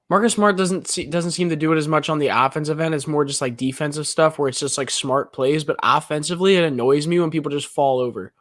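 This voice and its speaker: Young guy cohost rambling about the game, a bit high voice, dry close sound. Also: no room sound. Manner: excitable, rambling, quick asides. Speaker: podcast co-host. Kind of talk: live game reaction chat.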